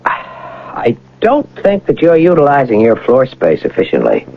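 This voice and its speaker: A man playing a polished, courteous young gentleman bank robber in a radio drama, speaking formally and articulately. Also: medium pitch, huge pitch swings, a little slow, gravelly, exaggerated voice. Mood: polite, smooth. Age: young adult. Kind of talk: 1980 radio drama acting. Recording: muffled, noisy old radio broadcast.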